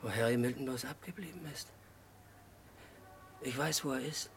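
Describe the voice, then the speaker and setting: An actor playing a deranged, childlike, lovesick murderer in a German radio crime drama, confessing in halting, simple sentences. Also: male voice, mid-range voice, slight background noise, lightly acted. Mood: brooding. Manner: halting, brooding monologue in short simple sentences.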